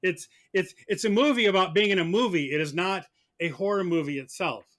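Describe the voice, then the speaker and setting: Podcast mock prosecutor: male, slightly high-pitched, argumentative, stumbles and doubles back on his words. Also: fairly bright tone. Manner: argumentative, halting with repeated words. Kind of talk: comic debate on a film podcast.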